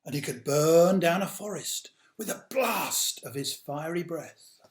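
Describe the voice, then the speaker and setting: A man reading a picture book aloud to children, with dramatic drawn-out emphasis and hushed asides. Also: fairly high pitch, expressive. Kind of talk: animated children's storytime narration. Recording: roomy, echoey sound.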